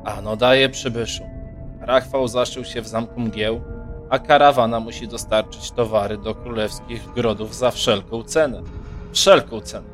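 A man's voice: medium pitch, steady tone, a little slow, faint music behind, natural voice. A man playing a haughty, shrewd margrave who commands a merchant caravan in a fantasy audio drama. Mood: mocking.

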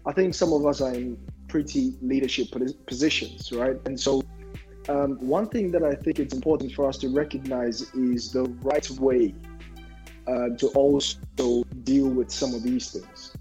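A man speaking reflectively in a group discussion, deliberate and halting, often repeating words as he builds a point. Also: medium pitch, steady tone, dark tone, dull audio, faint music behind. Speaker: discussion panelist.

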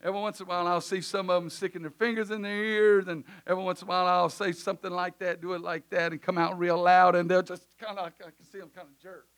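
A preacher with a loud, high-pitched voice that cuts bright and sharp through the sanctuary. He swings from fervent shouting down to soft, offhand remarks. His is easy to hear in his casual phrasing. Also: level tone, quick pace, hardly any pauses, clean tone. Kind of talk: sermon in church service. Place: church sanctuary.

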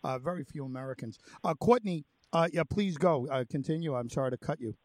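A male host talks in a loose, rambling way with plenty of fillers. His voice sits a bit high and sounds dry and close. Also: brisk, a little mellow, dry recording. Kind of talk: casual interview. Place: near the Polish border.

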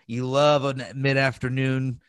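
A man's voice: medium pitch, level tone, normal speed, clean tone, no room sound. A man chatting casually as a podcast co-host, bantering and swearing freely. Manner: profane, bantering. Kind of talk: casual chat.

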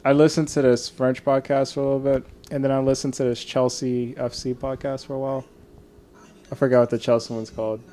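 A man's voice: mid-range voice, flat delivery, brisk, dark tone, slight background noise. A young man from Texas chatting casually and easygoing as a podcast co-host. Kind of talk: casual chat.

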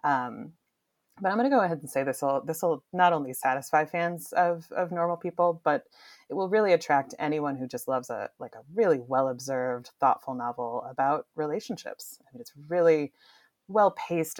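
A woman's voice: deep voice, no echo, studio quality. A woman giving casual book recommendations in a conversational, enthusiastic way, like a publisher's presenter. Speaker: publisher's book marketing director.

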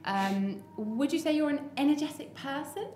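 A woman hosting a podcast, asking questions in a friendly, casual way with quick asides and laughter. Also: high voice, animated, darkish tone. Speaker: podcast host. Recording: echoey room, faint noise.

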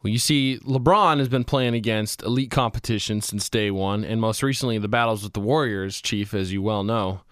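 A man's voice: fairly low pitch, normal speed, hardly any pauses, fairly bright tone, no room sound. A man co-hosting a casual sports show, with dry, mock-formal joking. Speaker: sports podcast co-host.

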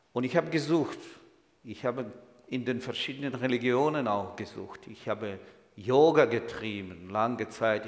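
A man, speaking German as a guest in church with humor and audience asides. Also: mid-range voice. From Ukraine. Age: 60s. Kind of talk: personal testimony in church. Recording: echoey hall.

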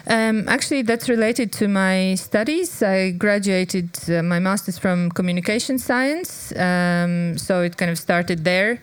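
A young adult woman from Latvia talks on a conference panel about her expertise. Her voice is smooth and clear, and the sound is dry and close. She hesitates often, filling gaps with ums and small laughs. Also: medium pitch, a little slow, few pauses, no echo. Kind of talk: panel discussion answers.

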